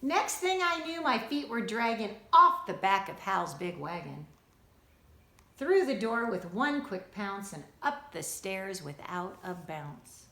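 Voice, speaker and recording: A woman reading a rhyming children's story aloud in an expressive, rhythmic, sing-song way, like a teacher. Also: medium pitch, slow pace, gravelly. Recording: roomy, echoing indoor sound.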